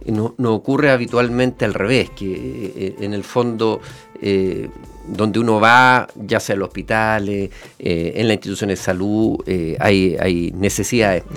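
A man with a Chilean accent hosting a conversational interview, haltingly repeating words and checking in with short tags. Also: fairly low pitch, fairly even intonation, fairly bright tone, faint music behind. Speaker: interview show host, a doctor.

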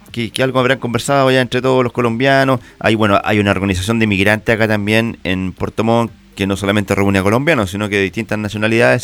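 Smooth-voiced Chilean radio host. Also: male voice, lowish voice, fairly bright tone. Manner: thoughtful, halting questions with restarts. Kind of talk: radio interview.

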